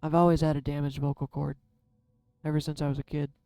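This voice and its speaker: Man, tarot reader, soft-spoken, smooth dark tone, candid vlog delivery, faint music underneath. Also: a little high, little pitch movement, quick pace, dry recording. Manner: candid, self-deprecating, musing aloud. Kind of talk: casual reflective vlog.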